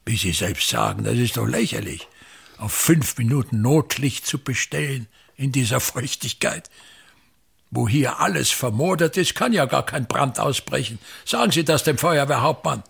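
An actor playing a vain, embittered older theatre actor and playwright in a radio play, domineering and declamatory, with an Austrian accent. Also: male voice, mid-range voice, animated, moderate pace, gravelly, a bit bright, breathy edge, full character voice. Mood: scornful. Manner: theatrical, declamatory, haranguing, repeats words.

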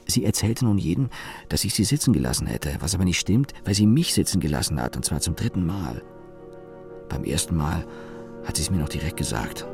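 A man's voice: low voice, animated, brisk, creaky, over music. A man playing a weary, cynical office engineer in a radio play, muttering a sardonic monologue in clipped fragments. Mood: aggrieved, dry.

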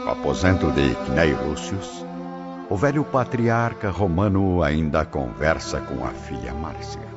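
A man narrating a scripted radio drama in a solemn, measured manner. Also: rumbling low, very animated. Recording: dull lo-fi recording, loud music behind.

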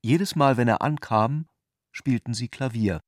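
A man's voice: medium pitch, measured pace, no echo. Man narrating literary prose, close and dry. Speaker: narrator of a radio literary adaptation.

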